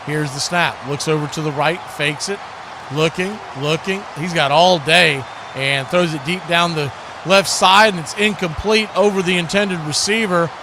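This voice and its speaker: A man's voice: a little high, normal speed. An American man calling live football play-by-play in short clipped phrases, repeating words as the action unfolds. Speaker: play-by-play sports commentator. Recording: noisy live stream with background noise.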